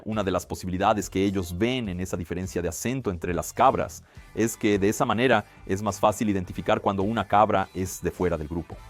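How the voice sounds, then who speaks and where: A man hosting a casual science explainer, chatty and lively with quick exclamations. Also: lowish voice. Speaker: science video host.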